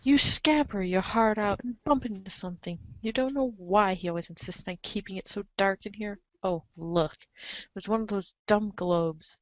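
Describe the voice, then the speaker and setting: A woman's voice: medium pitch, normal speed, no room sound, dull audio. A woman reading a webcomic script aloud as a reader's theater performer, with emphatic, playful exasperation. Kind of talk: animated dramatic reading of a webcomic, in second person.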